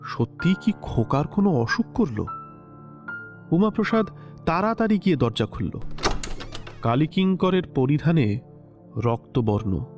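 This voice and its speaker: A man narrating a classic story in a measured, expressive literary style. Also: mid-range voice. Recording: slightly dull lo-fi recording with soft music.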